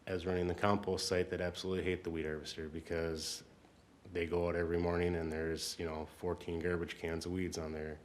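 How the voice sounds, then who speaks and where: A man speaking as a city staff member, explaining things at a council meeting in an informal, halting way. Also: low voice, flat delivery, slight background noise.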